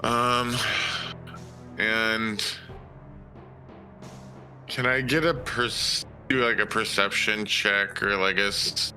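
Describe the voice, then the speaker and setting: Man, low voice, very slow pace, casual tabletop player, hesitant, music behind. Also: steady tone, bright, forceful tone. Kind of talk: casual game chat. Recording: game music playing behind.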